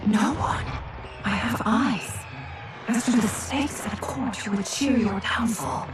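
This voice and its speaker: An actress playing a cautious, admonishing royal sister in a video game, speaking in a measured way. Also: female voice, a little high. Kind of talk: cinematic video game acting. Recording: very echoey, distant, music behind.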